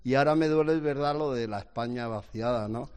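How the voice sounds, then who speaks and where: A man's voice: mid-range voice, fast talker, a little mellow, clear, resonant tone. Man in his sixties, guest, rambling, hesitant, full of restarts, casual storytelling. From Spain. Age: 60s. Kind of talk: casual chat.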